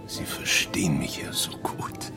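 An actor playing an amorous, self-pitying older boss in a German radio play, with sighing, murmured delivery. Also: male voice, measured pace, whispered, over music, lightly acted. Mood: self-pitying, pleading.

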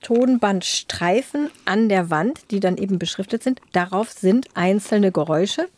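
A woman's voice: mid-range voice, lively intonation, measured pace, darkish tone. A middle-aged woman hosting a podcast, commenting and explaining in a casual, conversational style. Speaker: podcast host.

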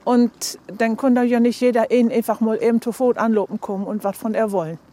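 A woman's voice: a little high, steady tone, brisk, warm tone. A woman with a Northern German accent, recollecting conversationally as an interviewee.

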